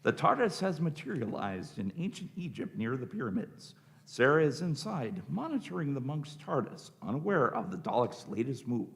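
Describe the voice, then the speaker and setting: A man's voice: medium pitch, very animated, normal speed, vocal fry, few pauses, background noise. A man reading scripted stage directions as narrator of a radio play.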